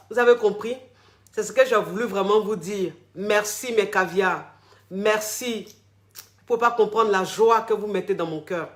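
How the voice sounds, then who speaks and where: A man's voice: sits high, a bit bright. A man, a relationship coach talking casually to followers on a live stream. He speaks effusively and repeats his phrases over and over. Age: 40s. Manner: effusive, repeats phrases, tags many sentences with a filler word.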